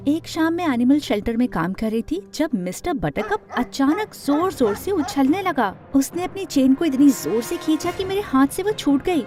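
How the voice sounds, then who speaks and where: High, mellow young storyteller. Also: female voice, sing-song, normal speed, few pauses. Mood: earnest. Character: young woman earnestly recounting her own dramatic life. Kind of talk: first-person animated story narration.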